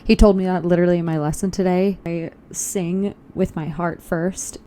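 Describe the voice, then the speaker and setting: A woman's voice: lowish voice, fairly even intonation, normal speed, dark tone, slight background noise. Chatty young woman with a tired voice. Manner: chatty and upbeat, thinks aloud. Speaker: vlogger. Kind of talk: casual vlog chat. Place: walking outdoors on a loud city street.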